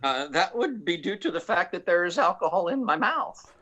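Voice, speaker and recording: A man playing a hapless, insubordinate, hard-drinking slacker space pilot in a comic radio play, with halting, stammering delivery. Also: sits high, very animated, background noise. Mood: brazen, deadpan.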